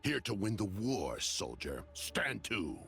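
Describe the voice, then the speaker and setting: An actor playing a stern, proud warrior general in a video game, speaking in a grim, terse, commanding manner. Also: male voice, on the low side, sing-song, takes it slowly, gravelly, pushed and bright, full character voice. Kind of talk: video game character voice lines.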